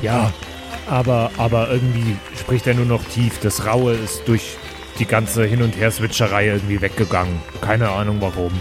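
A man's voice: fairly low pitch, little pitch movement, moderate pace, music in the background. A man chatting casually and playfully as a player in an improvised tabletop role-playing game.

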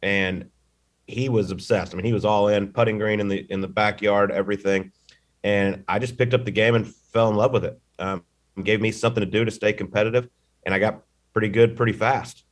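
A man's voice: on the low side, fairly even intonation, a little fast. A man in his thirties with a Texas accent, telling stories in an easygoing, casual way as an interview guest. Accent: Texan. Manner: easygoing storyteller with fillers. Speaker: golf broadcaster, podcast guest.

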